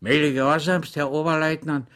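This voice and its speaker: A man's voice: a little high, steady tone, normal speed, a bit bright, pitched above the performer's own voice, lightly acted. A man reading an audiobook, voicing a cheerful, simple-minded soldier orderly who chats earnestly and deferentially. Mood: eager, deferential. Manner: chatty, earnest and deferential, rambling anecdotes.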